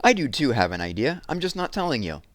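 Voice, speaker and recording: A man's voice: mid-range voice, sing-song, quick pace, no room sound, voice pushed up, lightly acted. A man voicing a stubborn, defensive young schoolgirl in an emphatic, indignant way for a storybook. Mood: defiant.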